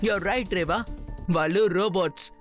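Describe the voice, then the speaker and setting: A woman's voice: medium pitch, a little slow, close to an everyday voice. A woman voicing a confident, level-headed child hero in a cartoon. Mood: thoughtful. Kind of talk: cartoon dub acting. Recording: music under the voice.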